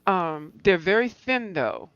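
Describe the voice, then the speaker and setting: Guest: woman, lowish voice, muffled lo-fi line, dry sound, loose rambling talk. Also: big pitch swings, slow pace, fairly bright tone, clear, resonant tone, no room sound. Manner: loose, rambling and thinking aloud. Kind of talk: casual chat about art supplies.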